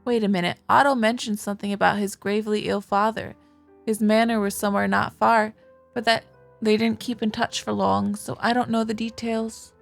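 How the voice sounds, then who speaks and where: A woman's voice: medium pitch, level tone, normal speed, vocal fry, faint music behind, natural voice. A woman voicing a distressed, insistent young wife in a visual novel, speaking earnestly. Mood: earnest, explaining. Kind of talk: visual novel dialogue read aloud.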